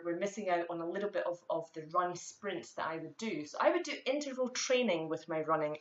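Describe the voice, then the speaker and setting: A woman's voice: fairly low pitch, a bit bright. A woman with a Scottish accent, the founder of a fitness company, giving a chatty, direct vlog talk full of fillers. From Scotland. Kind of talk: casual vlog monologue. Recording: echoey, dull lo-fi room sound.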